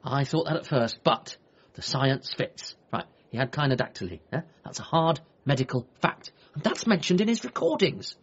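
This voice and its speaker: An actor playing a frantic, stammering grieving son in a radio comedy drama. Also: male voice, mid-range voice, normal speed, slightly rough, dull audio. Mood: frantic, excited. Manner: stammering, rambling, excitable. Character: frantic, grieving adult son convinced his dad was an alien.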